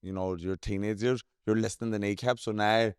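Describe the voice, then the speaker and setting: A man's voice: lowish voice, fairly even intonation, brisk, clean tone, no room sound, clean studio sound. A man hosting a podcast, asking loose, rambling questions. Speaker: podcast host. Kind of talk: casual podcast chat.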